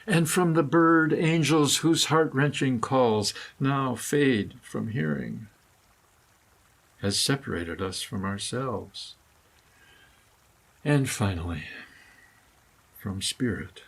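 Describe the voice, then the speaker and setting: A man reading his own scripted meditative prose poem in a solemn, deliberate manner with dramatic phrasing. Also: medium pitch, gravelly, fairly bright tone, husky. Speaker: author reading own essay.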